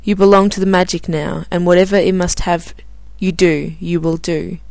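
Serene mystical forest woman read by a woman storyteller: low, calm, forgiving. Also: normal speed, gravelly, no echo.

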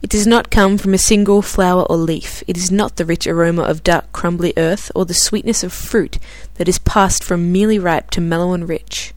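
Australian woman podcast host, lower voice, casual and self-deprecating, reads fiction aloud. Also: fairly even intonation, normal speed, hardly any pauses, a little mellow, dry recording. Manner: chatty and self-deprecating, with filler words. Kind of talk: casual chat, then reading a novel aloud.

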